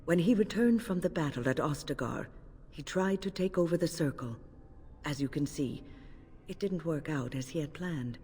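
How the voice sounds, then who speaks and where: A composed, resolute older woman mage for a fantasy video game. Her voice is low, mellow and slightly husky, and her grave, formal words ring in a long hall reverb. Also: animated, moderate pace.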